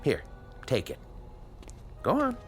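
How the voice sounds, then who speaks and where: An actor playing an educated, earnest scientist in a radio drama, American, measured and questioning. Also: male voice, fairly high pitch, huge pitch swings, faint music behind, exaggerated voice. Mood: nervous, accommodating. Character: earnest scientist, educated, patient, morally probing male doctor.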